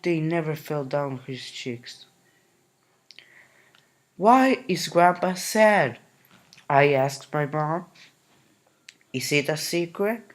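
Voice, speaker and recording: A man reading a children's picture book aloud in a gentle, unhurried way. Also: a little high, animated. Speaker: narrator. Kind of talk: storybook reading.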